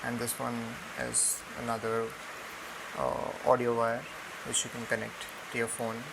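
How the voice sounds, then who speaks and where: A young man with an Indian accent giving a casual, halting unboxing and tutorial narration with many ums. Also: medium pitch, creaky. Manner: hesitant, halting delivery full of ums. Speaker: product reviewer. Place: indoors on a room floor. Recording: noisy home recording with steady hiss.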